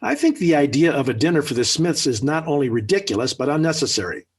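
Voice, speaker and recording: Exasperated, high-strung blustering radio dad. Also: male voice, big pitch swings, fast talker, a little hiss or hum. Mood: grumbling, stubborn. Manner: emphatic, flustered, sputtering indignation. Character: exasperated, blustering middle-aged family father. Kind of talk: 1940s radio sitcom acting. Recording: old 1940s radio recording.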